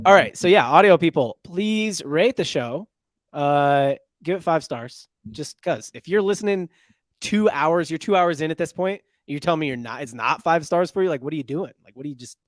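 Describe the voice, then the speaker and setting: A man's voice: a little high, animated, moderate pace, no room sound, clean studio sound. A man hosting a casual sports podcast, talking loosely with lots of fillers and restarts as he runs through giveaways and sign-offs. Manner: loose, rambling delivery with many fillers and restarts. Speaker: podcast host.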